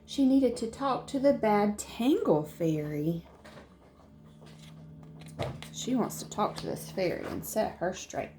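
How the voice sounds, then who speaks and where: A woman reads a children's story aloud in the manner of a primary teacher. Her delivery is unhurried and expressive, with animated intonation, and she explains things simply for young listeners. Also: medium pitch. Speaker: second-grade teacher.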